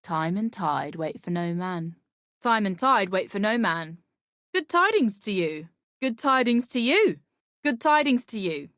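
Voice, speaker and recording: A roguish female pirate captain from a video game. She talks in a salty, cocky and colloquial way, like a plain-spoken seafarer. Her voice sits at a middle pitch and is recorded close and dry, with no room sound. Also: sing-song, unhurried, a bit bright, no echo. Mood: wry, settled. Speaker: game voice actor. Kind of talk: video game character dialogue.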